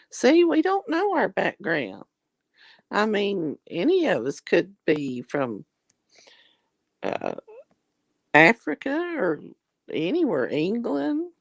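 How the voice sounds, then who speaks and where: A woman chatting casually with her viewers in a folksy, religious way, calling them honey. She keeps clearing her throat as her voice gives out. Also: mid-range voice, no room sound. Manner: religious, often says Lord have mercy. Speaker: vlogger reading viewer comments. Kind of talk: casual live chat.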